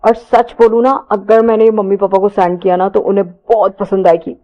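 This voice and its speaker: A woman's voice: mid-range voice, little pitch movement, brisk, muffled recording, close to an everyday voice. Woman acting a teasing, mischievous young man. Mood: amused, mocking. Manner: taunting, amused.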